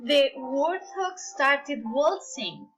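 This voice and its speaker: A woman reads a children's picture book aloud. Her voice is high, and she takes her time, telling the story with deliberate expression. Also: expressive, fairly bright tone, highs cut off, faint music behind. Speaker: storybook reader.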